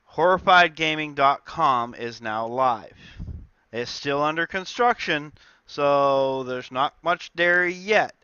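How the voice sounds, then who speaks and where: A man's voice: a little high, slow, sharp tone, clean tone, no echo, highs cut off. A man with a slight cold, chatting casually through his game developer diary with lots of filler words. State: stuffed up with a cold. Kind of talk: casual dev diary talk.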